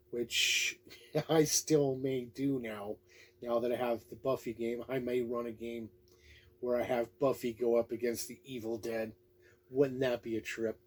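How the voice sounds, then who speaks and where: A man's voice: medium pitch, darkish tone. A man giving a casual, unscripted review in a folksy, plain-spoken, laid-back way. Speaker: tabletop game book reviewer.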